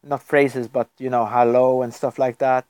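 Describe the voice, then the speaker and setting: A man's voice: mid-range voice, level tone, moderate pace, a little mellow, smooth, dry recording. A man from Norway, chatting casually on a live stream at his computer, rambling and chuckling often. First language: Norwegian. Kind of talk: casual livestream chat.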